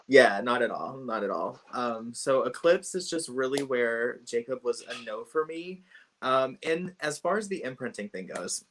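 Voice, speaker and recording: A woman's voice: a bass voice, lively intonation, moderate pace, crowd noise. A woman co-hosting a casual book discussion, frank and opinionated, with short laughing asides. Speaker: podcast co-host.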